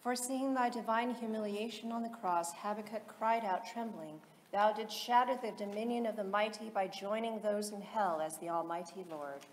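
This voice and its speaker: A woman reading solemn liturgical texts aloud in a measured, formal, chant-like delivery. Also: mid-range voice. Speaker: liturgical reader in church. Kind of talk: solemn chanted recitation of psalms and hymns. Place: large reverberant interior. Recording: echoey reverberant hall, loud background noise.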